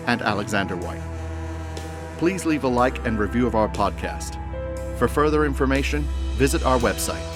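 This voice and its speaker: A man reading scripted closing credits for an audio drama podcast as its announcer. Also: medium pitch. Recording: clear guitar music behind voice. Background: guitar music.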